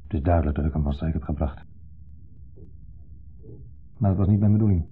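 A man's voice: extremely low pitch, brisk, breathy edge. An actor in a 1970s radio play voicing an insecure, needling man with a Netherlands Dutch accent, who speaks theatrically and dwells pointedly on his words. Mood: wistful, restrained. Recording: muffled, band-limited 1970s radio recording.

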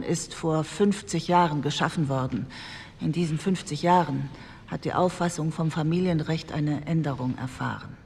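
Lowish female voice, determined 1948 reformist politician, insistent, formal debate speech, long hall reverb. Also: darkish tone. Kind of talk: formal parliamentary speech, re-enacted. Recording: long hall reverb, faint background noise.

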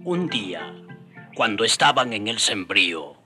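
An actor playing the storytelling narrator of a historical radio drama, speaking clearly. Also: male voice, fairly low pitch, sing-song, a little slow, over music. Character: storytelling narrator recalling the friars' mission.

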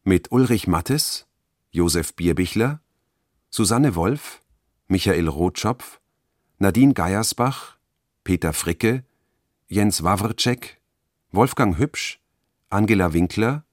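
A man's voice: fairly low pitch, no room sound, studio quality. A man announcing radio credits in a matter-of-fact, list-like delivery.

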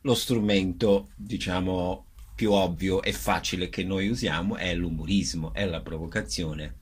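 A man speaking as a theatre director in a radio interview, explaining in a halting way as he searches for words. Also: sits low, steady tone, breathy edge.

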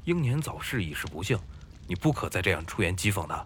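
A man playing a gentle, earnest, bookish boatman in a Chinese period audio drama, easily flustered. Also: low voice, quick pace, slight background noise. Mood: earnest reproach. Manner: earnest, expressive. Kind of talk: Chinese audio drama acting, dialogue and monologue.

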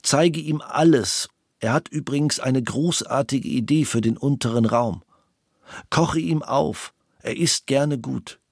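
A dry studio-like recording of a man with a medium-pitched voice. He reads out a personal letter for a documentary, measured and deliberate. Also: a little mellow, no room sound. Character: man reading out his letter to a woman.

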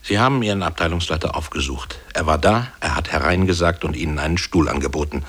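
An actor playing a dry narrator who recounts an employee's petition in measured, matter-of-fact radio play style. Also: male voice, rumbling low, fairly bright tone, slightly rough, heavily acted. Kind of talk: scripted radio play narration addressed to "you".

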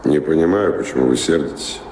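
An actor playing a world-weary wandering singer, calm, dreamy and detached, in a radio drama. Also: male voice, very deep voice, fairly quick. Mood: calm evasion. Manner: detached, musing, understated. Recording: echoey hall with clear background noise.

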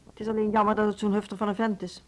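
An actress as a proud woman in authority in a radio play. Her mid-pitched voice is articulate and pointed, with a resolute, suspicious temper. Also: flat delivery, racing, a little mellow, natural voice. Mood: indignant. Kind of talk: naturalistic Dutch radio drama acting.

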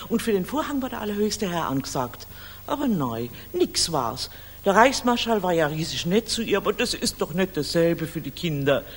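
An actress playing a vivacious middle-aged operetta singer and doting aunt, chatty and familiar, in 1960s radio drama. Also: female voice, mid-range voice, breathy edge. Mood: chatty, wry. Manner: gushing, familiar, colloquial. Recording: echoing mono radio studio, hiss.

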